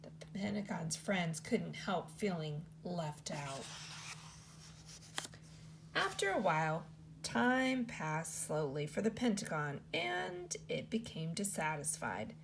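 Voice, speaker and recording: A woman teacher reads a picture book aloud to children. Her voice has a gravelly, creaky texture and a lively, sing-song melody. A faint hum sits under her reading. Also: takes it slowly. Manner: expressive read-aloud with lots of pitch movement.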